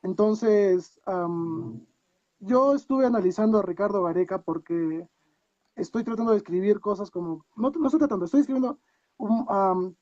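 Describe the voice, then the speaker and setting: A man's voice: high voice, moderate pace, a little mellow, no room sound, muffled recording. A man with a Peruvian accent, a guest on a video call, talking reflectively and asking himself many rhetorical questions. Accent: Peruvian Spanish. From Peru. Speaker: guest coach. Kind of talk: casual interview talk.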